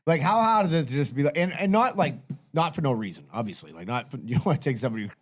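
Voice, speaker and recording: A man's voice: mid-range voice, very animated, racing. A man co-hosting a podcast in casual chat, emphatic and swearing a lot, with many "like"s. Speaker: podcast co-host.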